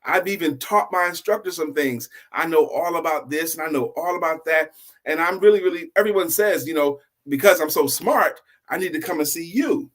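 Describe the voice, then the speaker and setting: A cocky young monk from a storyteller's tale, acted out by a man. He is excitable and full of himself, bragging in loose slang with a fairly high voice. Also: moderate pace. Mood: boastful, excited.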